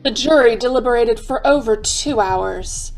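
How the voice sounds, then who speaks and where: A woman narrating a radio mystery in a measured, formal, dramatic storytelling style. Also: fairly high pitch, faint music behind, rough recording.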